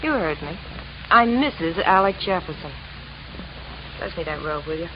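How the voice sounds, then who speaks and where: An actress playing a brassy, blasé young wife in a 1950s radio crime drama, offhand and curt. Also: female voice, fairly low pitch, lively intonation, moderate pace, natural voice. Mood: brusque, challenging. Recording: muffled vintage radio, sizzling noise.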